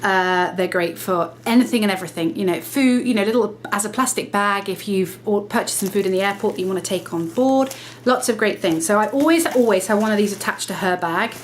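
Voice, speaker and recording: Woman vlogger, mid-pitched, conversational, slightly nasal with a cold, faint background hiss. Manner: chatty, self-correcting, brisk explaining. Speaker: lifestyle vlogger. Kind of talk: casual talk to camera.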